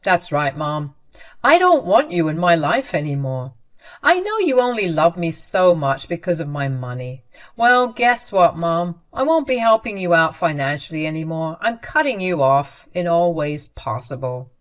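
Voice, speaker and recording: A woman's voice: lowish voice, big pitch swings, normal speed, a bit bright. An angry grown son speaks firmly and coldly, clipped and unmoved. A woman narrator voices him in an everyday voice for a storybook. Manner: firm, clipped.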